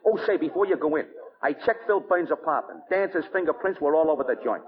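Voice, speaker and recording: On a thin, tinny vintage radio recording, a no-nonsense police lieutenant. His pitch sits on the high side, and he talks in blunt, slangy 1940s cop style. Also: expressive, fast talker. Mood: brisk, matter-of-fact. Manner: brusque, slangy. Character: brusque, slangy police lieutenant, eager sidekick to the sleuth. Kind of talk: 1940s radio drama acting.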